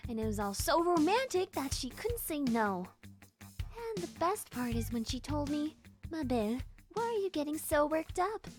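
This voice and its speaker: An actress voicing a fiery, hurt teenage girl, sarcastic and emotional, in a dating-sim game. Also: sits high. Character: fiery, hurt, bitter teenage girl, sharp-tongued student.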